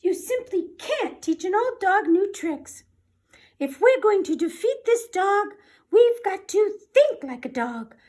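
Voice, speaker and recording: A woman's voice: very high-pitched, very animated, slow pace, darkish tone, pitched above the performer's own voice, exaggerated voice. A woman voicing an earnest, scholarly mouse professor who speaks emphatically in a children's storybook. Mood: earnest, urgent. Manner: emphatic, declarative. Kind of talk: children's storybook read-aloud.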